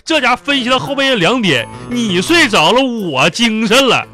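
A man's voice: extremely high pitch, sing-song, normal speed, bright, forceful tone, faint music behind, full character voice. A man playing a sleepy, exasperated, henpecked husband in a comedy radio drama, with a Northeastern Chinese accent and a whiny, grumbling delivery. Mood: exasperated complaining.

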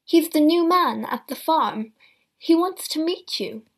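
A grown daughter keeps her words polite and restrained under strain, read by a woman in a storybook. The voice sits fairly high and moves slowly. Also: lively intonation.

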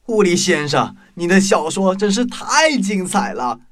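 A man's voice: sits high, very animated, measured pace. A man acting an admiring, encouraging prison guard in a children's radio play, earnest and enthusiastic. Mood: impressed. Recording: clearly noisy track with clicks.

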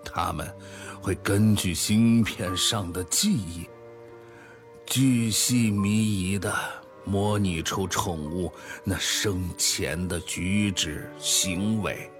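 Radio drama role: penitent male founder played by a man, deep, weighty, unhurried, confessing gravely. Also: over music. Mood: solemn confession. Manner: grave, solemn, deliberate, sighing. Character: remorseful male tech founder confessing a fraud. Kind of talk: dramatic radio play monologue.

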